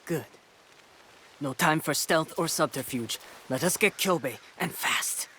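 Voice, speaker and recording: A man's voice: a little high, unhurried, sharp tone. A man playing a wary warrior ally on a mission in a video game, terse and serious. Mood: urgent. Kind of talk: video game cutscene acting. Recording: some ambient background noise.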